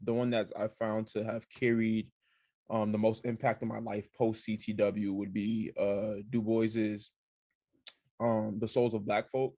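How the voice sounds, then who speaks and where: A young man, a program alumnus, reflecting thoughtfully on a text with deliberate, hesitant pacing. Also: fairly low pitch, flat delivery, mellow, dry recording. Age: young adult. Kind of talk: reflective personal testimony.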